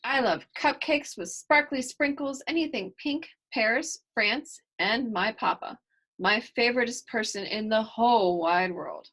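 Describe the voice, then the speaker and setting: A woman's voice: fairly high pitch, unhurried, a bit bright, rough recording. Woman reading as perky, girly seven-year-old girl. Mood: cheerful. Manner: enthusiastic, emphatic storybook delivery. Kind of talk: first-person children's storybook narration.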